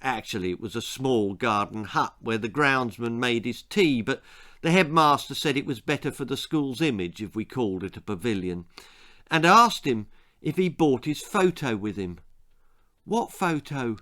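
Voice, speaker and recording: A man's voice: medium pitch, animated, moderate pace, a bit bright. British man narrating a darkly comic children's story. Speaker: author reading his own story.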